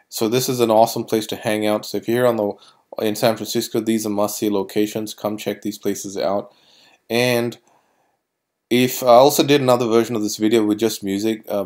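A man giving informal voice-over commentary as a tour guide, haltingly, often repeating and correcting himself. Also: lowish voice, a little mellow.

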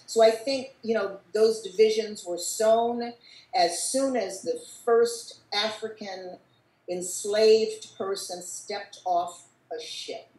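An older American woman speaks in a discursive, thoughtful way, dotted with "you know". Her pitch is average for a woman. The video-call sound is roomy and she sits away from the mic. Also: takes it slowly, a little mellow, recorded from a distance. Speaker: panelist. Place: book-lined room at home.

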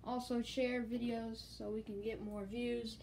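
A woman hosting an informal show-and-tell vlog, talking haltingly into a handheld device in a small room at home. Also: a little high, flat delivery, a little mellow. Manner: informal, halting, repeats stock phrases. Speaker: host of a gadget collection video channel. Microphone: handheld phone or camera, held close. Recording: noisy handheld home recording with clicks.

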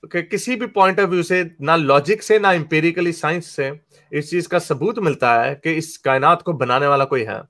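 A young man joins a debate over a webcam in a small indoor room. His voice sits slightly high. He lays out definitions calmly and exactly, then presses the other speaker with pointed questions. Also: moderate pace. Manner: calm, precise, pressing questions. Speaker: debate guest. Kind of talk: casual group video call debate. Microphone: webcam on video call.

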